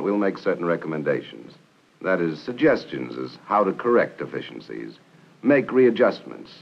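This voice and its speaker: A man in his forties playing a courteous, methodical army counterintelligence agent, formal and measured, on an old film soundtrack. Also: low-pitched, gravelly, lo-fi sound, full character voice. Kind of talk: 1960s training film acting. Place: small office and meeting room, indoors.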